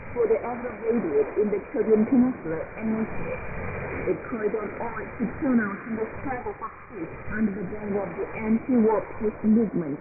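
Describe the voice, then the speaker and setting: A woman reading scripted radio news in a formal, deliberate manner over shortwave. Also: mid-range voice. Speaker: news reader. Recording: noisy, narrow-band shortwave radio broadcast.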